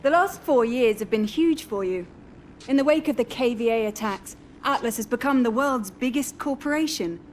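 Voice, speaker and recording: High-voiced female TV interviewer played by an actress. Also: expressive, moderate pace, a little mellow, a little hiss or hum, lightly acted. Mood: poised. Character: polished, probing female TV interviewer.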